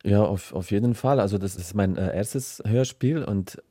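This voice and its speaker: A man from the former Yugoslavia with a Balkan accent, a writer talking reflectively and haltingly in an interview. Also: lowish voice, mellow, dry recording, studio quality. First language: South Slavic language of former Yugoslavia. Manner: halting, searching phrasing with repeated words.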